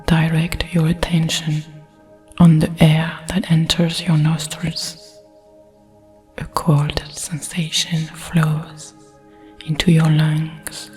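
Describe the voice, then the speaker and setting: French accent lady doing asmr meditation, breathy whisper, low pitched and slow, soothing. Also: completely flat delivery, a little mellow, soft background music. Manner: soothing, measured, deliberate.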